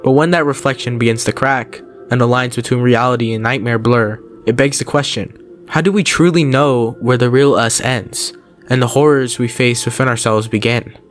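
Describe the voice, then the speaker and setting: A man's voice: medium pitch, moderate pace. Man narrating a horror recap over music. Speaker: video narrator, host. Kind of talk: scripted recap commentary on horror videos. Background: background music.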